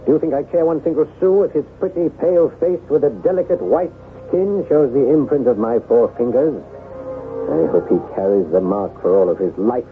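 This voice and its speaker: An actor playing a proud, vengeful gentleman with a formal, bitter manner in a period radio drama. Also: medium pitch, brisk, close to an everyday voice. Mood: bitter, resolute. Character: proud, vengeful Parisian gentleman bent on a duel. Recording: tinny old radio broadcast, music behind.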